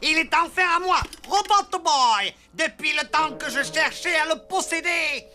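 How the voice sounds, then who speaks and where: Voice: high-pitched, a little slow, a little hiss or hum. An actor voicing a villainous, tyrannical little mad doctor in a French cartoon dub, pompous and domineering, with megalomaniac airs. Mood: gloating. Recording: loud music under the voice.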